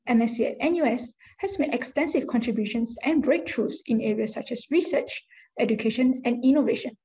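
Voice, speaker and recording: A woman's voice: fairly high pitch, dark tone, low-quality recording. A young woman moderating a university webinar, reading a formal introduction with a few fillers and slips.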